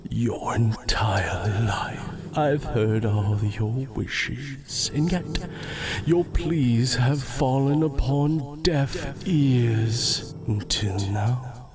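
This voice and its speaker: An actor playing a sinister, seductive Dark Lord spirit in an audio drama, speaking in a breathy, menacing, drawn-out whisper. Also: male voice, mid-range voice, music in the background. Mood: sinister, knowing.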